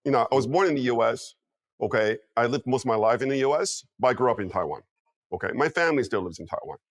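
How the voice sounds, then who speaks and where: A man's voice: mid-range voice, expressive, fast talker, no echo. Male guest strategist in his fifties, punchy and insistent, mid-range voice, dead-dry room. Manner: emphatic, peppers talk with "okay?" and "you know what?". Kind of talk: interview commentary.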